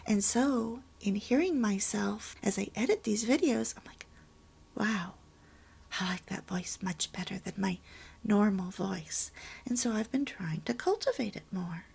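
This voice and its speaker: A woman hosting a homemaking channel, reading a pamphlet aloud at home and adding her own comments in a soft, hushed, half-whispered voice. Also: mid-range voice, measured pace. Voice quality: breathy. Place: home, early morning.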